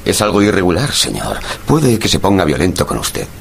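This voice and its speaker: A man's voice: low-pitched, wildly swooping pitch, fairly quick, creaky, slight background noise, full character voice. A man acting in a radio drama as a stubborn, officious, by-the-book police sergeant. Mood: officious, doubtful. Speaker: voice actor.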